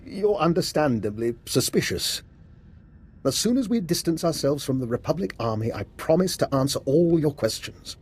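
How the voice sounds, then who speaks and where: A man acting a scheming scientist doctor in a video game, polite, formal and evasive, with a husky voice. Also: on the high side, big pitch swings, normal speed, darkish tone. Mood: politely amused. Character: smooth-talking, scheming male scientist doctor, coolly amused.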